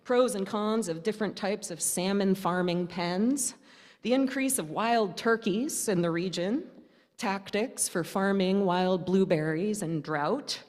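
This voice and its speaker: A woman with a medium-pitched voice delivers a storytelling sermon in a reverberant church hall. She reads aloud in measured, deliberate phrases, pausing often and adding touches of wry humor. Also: a little mellow. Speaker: church preacher. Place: indoor church service, speaking to a congregation.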